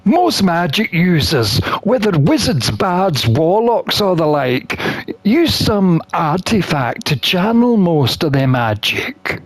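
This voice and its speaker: Slow, very animated storyteller reading aloud. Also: mid-range voice, no echo. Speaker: gamer reading a visual novel aloud. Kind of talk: expressive scripted narration of a visual novel.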